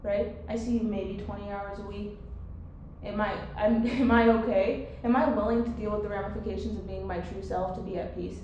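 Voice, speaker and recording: A woman's voice: mid-range voice, fairly even intonation, a little fast, a little mellow, dull audio, a little hiss or hum. A woman guest on a podcast who talks bluntly, swears often and laughs a lot. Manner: blunt, profane, frequent laughter. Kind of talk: casual podcast chat. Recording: very echoey room.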